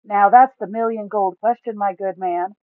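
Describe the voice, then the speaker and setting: A woman's voice: mid-range voice, fairly even intonation, no echo, narrow, tinny audio, voice pushed up, lightly acted. Woman doing a drawling, easygoing fantasy man. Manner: slow drawl. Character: easygoing drawling male adventurer.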